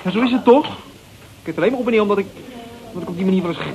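A man's voice: high voice, very fast, darkish tone, low-quality recording. An actor in a radio play as a hot-headed, pushy, insecure male suitor with an agitated, challenging manner. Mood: agitated, pleading. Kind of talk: Dutch radio play acting. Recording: radio play amid busy crowd noise.

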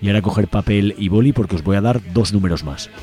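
A man's voice: lowish voice, fast. A man with an accent from Spain, reading a scripted announcement as host of a community radio programme in a studio. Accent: Spanish from Spain.

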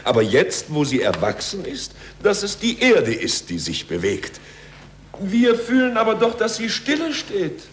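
An actor playing an urbane, authoritative, eloquent cardinal in a 1940s German radio play, on an old recording. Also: male voice, high voice, wildly swooping pitch, unhurried, a little hiss or hum, heavily acted. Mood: urbane, teasing. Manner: eloquent, rhetorical. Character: urbane, worldly cardinal, courteous yet firmly authoritative.

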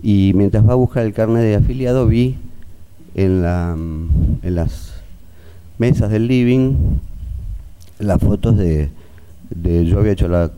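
A middle-aged or older man with an Argentine accent giving halting spoken testimony as a pediatrician witness, searching for details. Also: sits low, dark tone.

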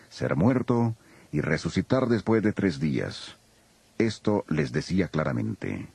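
A man's voice: lowish voice, creaky, breathy edge, no echo. A man narrating a dramatized Bible in a solemn storytelling style. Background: soft music.

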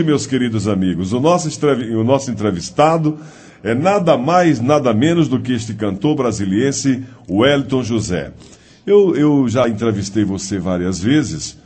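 A man hosting a radio interview show, talking with an animated, swinging pitch. His voice is dark and slightly husky. He chats loosely and laughs at himself between questions. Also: a little slow. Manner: chatty, laughs at himself, self-deprecating. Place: radio studio.

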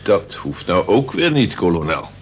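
Breezy deputy governor, faintly noisy recording. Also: male voice, low voice, big pitch swings, measured pace. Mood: breezy, polite. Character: deputy prison governor, brisk, deferential, teasing man. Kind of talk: Dutch radio play acting.

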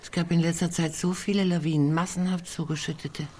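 An actress in a radio play voicing a morbid, sardonic woman who muses bluntly among friends, sometimes dropping to hushed tones. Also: on the low side, fairly even intonation, a little fast, close to an everyday voice. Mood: restless, urging.

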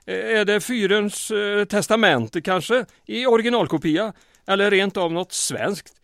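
A man's voice: high voice, gravelly, fairly bright tone, no room sound, studio quality. A man playing a harried, sardonic small-town mayor under wartime occupation in a comic radio drama. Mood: wry, probing. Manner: wry, stammers when flustered.

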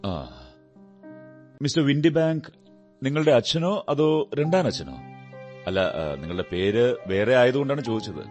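A man acts out a shrewd, courteous detective with a very lively, swooping delivery. He reasons aloud in a deliberate, theatrical radio-play manner, sure of himself, with music playing behind him. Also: medium pitch, lightly acted. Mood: probing, businesslike. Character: shrewd, self-assured male detective, courteous and analytical. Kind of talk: radio drama acting.

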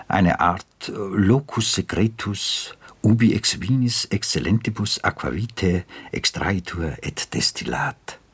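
A man narrating a radio drama in a literary, dryly ironic, deliberate storytelling manner. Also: low voice, vocal fry, slightly rough, no room sound.

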